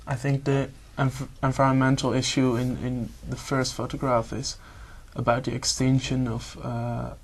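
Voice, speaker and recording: A man's voice: mid-range voice, flat delivery, moderate pace, hardly any pauses. A young man with a Dutch accent, a candidate in a speaking exam, answering hesitantly with many filler sounds. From Netherlands. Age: young adult.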